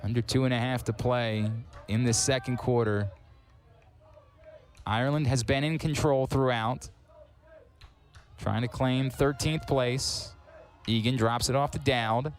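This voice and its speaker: Male play-by-play announcer on headset, mid-pitched, quick on the big plays, faint music behind. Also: steady tone. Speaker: sports commentator. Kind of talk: live sports play-by-play commentary.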